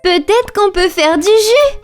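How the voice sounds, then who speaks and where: A cheerful lion cub, a small playful boy in a children's cartoon. The voice sits very high and chirpy, with a sing-song rhyming lilt. Loud children's music plays behind. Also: lively intonation, unhurried. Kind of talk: sung cartoon narration.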